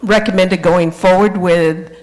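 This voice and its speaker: A man's voice: sits high, steady tone, a little slow, poor audio. A man at a board meeting who keeps pressing an insistent question about liability.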